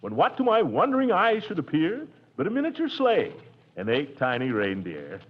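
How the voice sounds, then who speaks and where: An actor playing Gildersleeve, a pompous, jovial middle-aged uncle, in a 1940s radio comedy, with a blustery, chuckling manner. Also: male voice, a little high, huge pitch swings, normal speed. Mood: declaiming. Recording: dull old radio broadcast.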